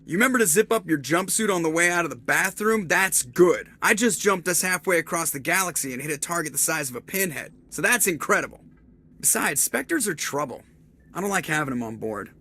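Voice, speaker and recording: An actor playing a sarcastic young starship pilot in a video game, trading wisecracks with the crew. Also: male voice, fairly high pitch, expressive, fairly quick, bright, forceful tone, full character voice. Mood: snarky. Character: cocky sardonic male ship pilot, young adult.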